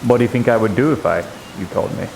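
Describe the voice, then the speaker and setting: A man playing a shrewd, probing member of an abbey in improvised roleplay, speaking in a measured, insinuating, formal way. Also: medium pitch, vocal fry, close to an everyday voice. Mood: measured, wry. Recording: hissy live stream microphone.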